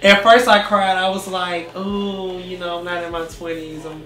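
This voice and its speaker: A man's voice: sits high, level tone, normal speed, sharp tone, distant mic, slight background noise. A man in his thirties speaking to camera in a candid, chatty, self-mocking way. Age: 30s. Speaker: reality show cast member. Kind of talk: confessional to camera. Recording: echoey room, mic set back, faint music.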